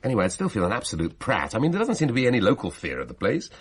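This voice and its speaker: A sheepish yet stubborn middle-aged British dad in a radio play. His deep voice rattles along in colloquial, defensive bursts. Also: huge pitch swings, rapid-fire, a little hiss or hum. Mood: stubborn, wry. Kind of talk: naturalistic radio drama acting.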